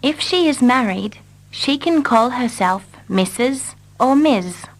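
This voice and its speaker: A woman repeating short English dialogue lines slowly and carefully for learners. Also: on the high side, lively intonation. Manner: carefully repeats each line. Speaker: English teacher. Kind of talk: slow scripted reading for learners.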